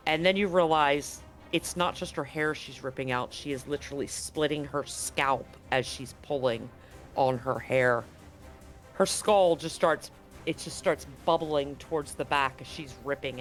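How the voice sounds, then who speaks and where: A man with a fairly high-pitched voice runs a tabletop horror game. He narrates dramatically and graphically, dropping in casual slang. Light music plays behind him. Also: fairly bright tone. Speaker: game master.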